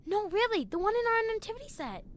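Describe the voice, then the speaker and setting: A woman's voice: extremely high pitch, sing-song, fast talker, noisy background. An actress playing an earnest, curious young girl in a children's audio drama, eager and full of exclamations. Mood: urgent, excited.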